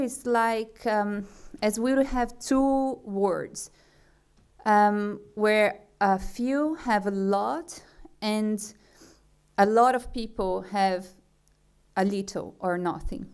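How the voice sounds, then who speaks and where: A young woman from Brazil, speaking English with a Brazilian accent as a conference speaker. She talks slowly and hesitantly, pausing on fillers and restarting her sentences. Her voice is smooth and clear, at a middle pitch. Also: darkish tone. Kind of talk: forum talk.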